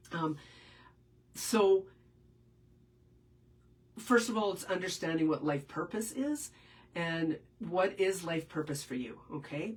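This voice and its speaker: A woman's voice: deep voice, distant mic, poor audio. A woman with a head cold, answering viewer questions as a spiritual teacher in a casual, meandering live session. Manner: meandering, many fillers and restarts.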